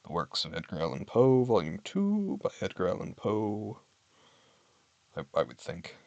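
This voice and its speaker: Low-voiced man chatting dry and close. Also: huge pitch swings, unhurried, creaky, darkish tone, no echo, dull audio. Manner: candid, wry, reads list items aloud and comments. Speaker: streamer. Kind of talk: casual chat with viewers. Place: home streaming setup.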